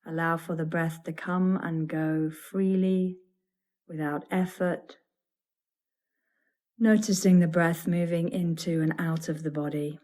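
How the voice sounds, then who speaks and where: A woman leading a guided yoga nidra meditation as a teacher, soothing and deliberate, in measured phrases broken by pauses. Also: sits low, fairly even intonation, a little mellow.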